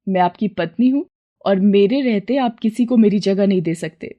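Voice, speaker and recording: A woman voicing a humble, patient young wife from a poor family, speaking softly in a cartoon story. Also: mid-range voice, moderate pace, highs cut off, close to an everyday voice. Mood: firm.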